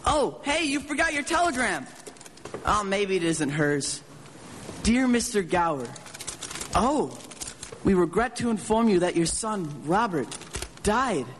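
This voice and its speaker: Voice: mid-range voice, expressive, measured pace, pauses often, fairly bright tone. A performer voicing an eager, adventurous twelve-year-old boy in a radio drama, chatty and earnest. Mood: troubled. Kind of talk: live radio drama acting. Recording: reverberant stage hall, background noise.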